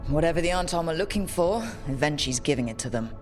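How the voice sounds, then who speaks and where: An actress playing a resolute, wry adventurer hero in a video game, speaking in a direct, conversational way. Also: female voice, sits low, animated, quick pace, a little mellow. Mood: serious. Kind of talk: video game cutscene acting. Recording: music behind the voice.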